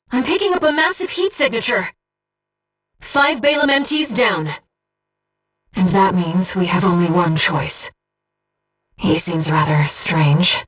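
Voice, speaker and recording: A gentle, earnest female spirit guiding a pilot in a video game. Her soft voice sits at a medium pitch and moves slowly, with composed, measured phrasing. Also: recorded from a distance. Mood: urgent, alert. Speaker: mission support operator. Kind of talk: scripted video game voice acting.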